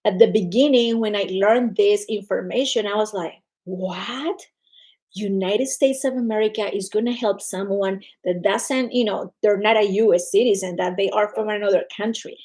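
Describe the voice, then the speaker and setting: A woman's voice: mid-range voice, normal speed. A woman from Colombia with a Colombian Spanish accent, talking casually and energetically to viewers on her own livestream. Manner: energetic, chatty, greets viewers by name. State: recovering from illness. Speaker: livestream host.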